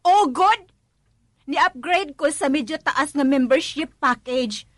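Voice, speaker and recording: High-pitched Cebuano radio drama woman. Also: animated, slow, fairly bright tone, no room sound, studio quality, heavily acted. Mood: whining, defensive. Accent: Cebuano Filipino. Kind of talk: Filipino radio drama acting.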